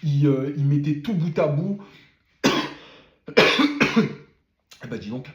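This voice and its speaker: A man's voice: a little high, animated, slow pace, roomy sound, lo-fi sound. A man who has a cold gives a casual spoken debrief of a motor race, full of fillers and repeated words. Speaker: F1 commentator.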